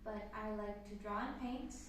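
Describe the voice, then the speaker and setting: A woman's voice: mid-range voice, moderate pace, heavy reverb, recorded from a distance, background noise. A woman in her twenties, from Canada, hosting a casual sit-down Q&A chat, upbeat and rambling with fillers. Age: 20s. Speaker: channel host.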